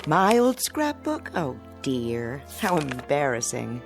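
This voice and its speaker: Fussy coaxing mom in a radio play, low voice, very animated. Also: female voice, slow pace, gravelly, music in the background, full character voice. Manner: coaxing, motherly insistence. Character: fussing, coaxing middle-aged mother. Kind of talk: radio drama acting.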